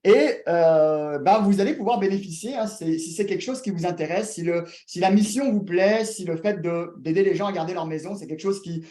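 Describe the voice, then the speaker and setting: Mellow man hosting an online talk. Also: high voice, brisk, few pauses. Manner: lively, addresses audience directly with questions. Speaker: host of a real estate webinar. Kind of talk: presenting to an online audience. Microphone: video call.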